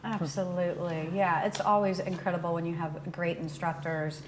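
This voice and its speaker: A woman's voice: on the low side, level tone, moderate pace, noisy background. An American woman working as a TV host, chatting with guests and presenting local announcements. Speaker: TV show host.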